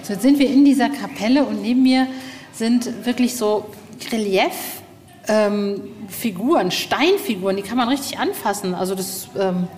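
A woman's voice: mid-range voice, normal speed, a little mellow. A woman hosting a podcast reportage on the move, speaking in an informal, chatty and curious way. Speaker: podcast host. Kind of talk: casual on-location reportage. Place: on foot around a castle. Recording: noisy location recording with ambience.

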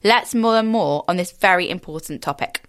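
A woman's voice: on the low side, animated, moderate pace, fairly bright tone. Woman hosting a podcast. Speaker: podcast host. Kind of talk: podcast introduction and interview.

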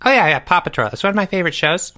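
A man voicing an excitable, naive schoolboy as a rambling, eager guest in a comic podcast interview. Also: high-pitched, very fast, pitched above the performer's own voice.

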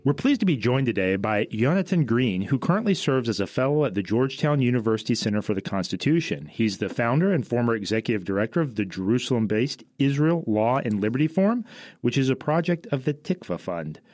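A man's voice: mid-range voice, sing-song, fairly quick, a little mellow, no room sound. A man with an American accent hosting an interview podcast, asking prepared questions in a polite, affirming manner.

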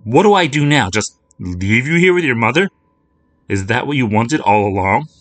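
A man's voice: mid-range voice, lively intonation, normal speed, fairly bright tone, dry recording, soft background music, voice pushed up, lightly acted. A man voicing a timid, frightened young girl who stammers and hesitates. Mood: pleading.

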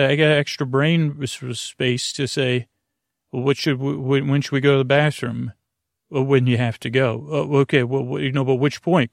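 Creaky-voiced man, halting and meandering. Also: mid-range voice, dry recording, studio quality. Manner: halting and meandering, repeatedly trailing off and circling back. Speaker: podcast host. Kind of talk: rambling bedtime monologue with personal anecdotes.

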